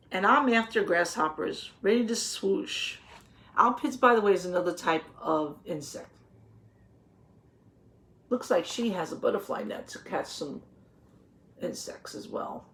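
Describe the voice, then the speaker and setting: A woman reading a children's picture book aloud, pausing to explain things simply and put questions to the young listeners. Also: medium pitch. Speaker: read-aloud teacher.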